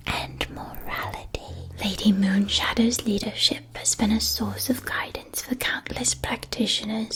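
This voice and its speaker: Woman playing a whispering, gentle witch shopkeeper. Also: on the high side. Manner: soothing, slow, precise, theatrical storytelling. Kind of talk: whispered ASMR roleplay.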